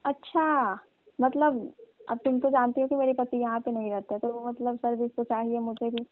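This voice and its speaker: A woman's voice: a little high, level tone, moderate pace, close to an everyday voice. A woman playing a flirtatious, pushy village aunt who coaxes and teases a younger repairman in a comic phone skit. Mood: flirtatious, coaxing. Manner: coaxing, teasing, colloquial. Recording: tinny, dry phone-call recording.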